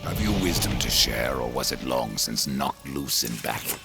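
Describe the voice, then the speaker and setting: Sardonic game horseman of doom; extremely low, heavy gravel, dry menace with swooping inflection. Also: lively intonation, moderate pace, full character voice. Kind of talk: dramatic video game acting. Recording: loud music behind the voice.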